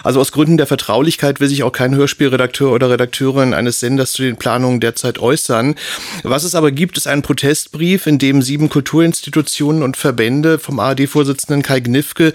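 A man's voice: medium pitch, fairly even intonation, brisk, no room sound. A man giving a conversational report as a radio journalist in a studio. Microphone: close studio microphone.

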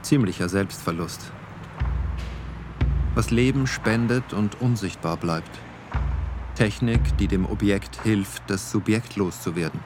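A man reciting scripted aphorisms for a radio play with deliberate, separated phrasing. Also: on the low side. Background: music.

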